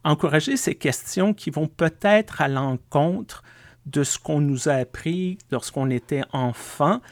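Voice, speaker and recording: A man, a pastor co-hosting a podcast, talking in a thoughtful, measured and gently humorous way. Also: on the high side, no room sound. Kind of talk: casual conversational chat.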